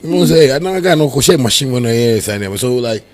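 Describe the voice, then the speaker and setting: A man with a Ghanaian accent and a husky voice, a musician telling his story in a casual interview, rambling and switching into Twi and Pidgin. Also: mid-range voice, lively intonation, fast. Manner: rambling, filler-heavy storytelling. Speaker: musician guest.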